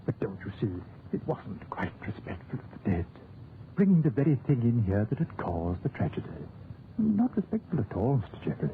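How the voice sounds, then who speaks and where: A man's voice: medium pitch, big pitch swings, normal speed. An actor playing a prim, anxious clergyman with a hesitant, proper manner in a 1940s radio drama. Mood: disapproving. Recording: tinny, lightly noisy 1940s radio recording.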